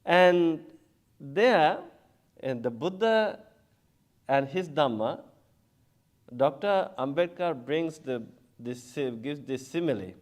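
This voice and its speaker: Slow, chuckling monk speaking at a symposium. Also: male voice, on the high side, animated. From Bangladesh. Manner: unhurried, chuckles often, many hesitations. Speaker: Buddhist monk, panel speaker. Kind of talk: symposium talk.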